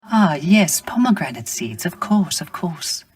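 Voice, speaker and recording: A man playing a gentle, melancholy male musician of the underworld in a video game, speaking formally and courteously with wistful, poetic, old-fashioned phrasing. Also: fairly high pitch, big pitch swings, a little slow, breathy edge, heavily acted. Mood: courteous, reserved. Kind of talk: scripted video game character acting.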